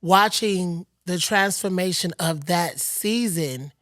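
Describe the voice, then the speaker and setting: A woman with a somewhat low voice speaks in a thoughtful, measured way. The recording is dry and close, with no room sound. She sounds like a co-host in a relaxed conversation. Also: dry recording. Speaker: podcast co-host. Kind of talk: casual conversation.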